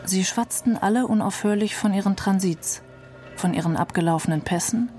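A woman's voice: medium pitch, normal speed, darkish tone, faint music behind. A woman giving a literary reading of a novel for a radio feature. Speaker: reader of a novel.

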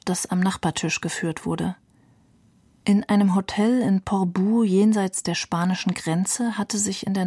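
A woman's voice: medium pitch, dark tone. A woman giving a scripted literary reading of memoir and novel passages. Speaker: reader of literary quotations.

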